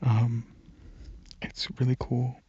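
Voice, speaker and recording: Soft, very breathy man, slow ASMR ramble. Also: medium pitch, monotone, dry recording, lo-fi sound. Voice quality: soft, breathy, often near whisper. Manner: hesitant, halting, informal. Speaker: ASMR channel creator.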